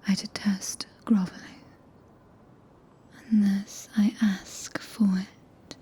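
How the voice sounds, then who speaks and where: A woman with a British accent playing a regal, possessive witch in whispered ASMR roleplay, speaking archaically and imperiously with long pauses, close to the microphone. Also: medium pitch, little pitch movement, mellow. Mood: cool, teasing authority. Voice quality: breathy, whispered. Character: regal, possessive witch, cool yet tenderly commanding. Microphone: close binaural ASMR microphone.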